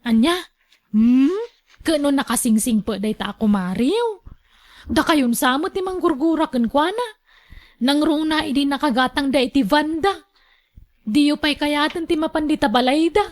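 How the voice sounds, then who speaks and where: A woman performs a younger woman with a distinctly high voice, looking after an elderly mother. She is long-suffering and earnest, reasoning her way through a radio drama. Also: moderate pace, close to an everyday voice. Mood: questioning.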